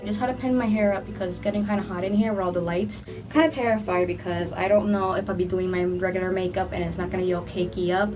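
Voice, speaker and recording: A young woman talks through her makeup routine in a loose, rambling way, leaning on "like" as she goes. She sounds a touch under the weather from a cold, and her voice sits at an ordinary middle pitch. Background music plays clearly behind her in a room under filming lights. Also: rough recording. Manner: chatty and rambling, heavy use of "like". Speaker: beauty vlogger. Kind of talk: casual makeup tutorial chat.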